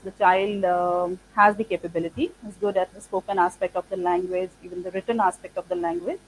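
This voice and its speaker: A woman whose first language is Punjabi, from India, answering interview questions as an institute founder. She speaks thoughtfully and fills her pauses with "like" and "uh". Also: mid-range voice, a little hiss or hum, low-quality recording. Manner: thoughtful, many "like" and "uh" fillers. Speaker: founder of an English training institute.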